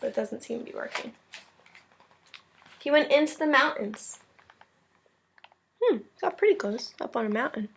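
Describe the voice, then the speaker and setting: A woman with a fairly high voice speaks as a teacher reading a picture book to her class. She moves between narrating the story and explaining it in simple terms for young children. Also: lively intonation, normal speed, gravelly, pauses often, a little hiss or hum. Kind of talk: storybook read-aloud with explanatory asides.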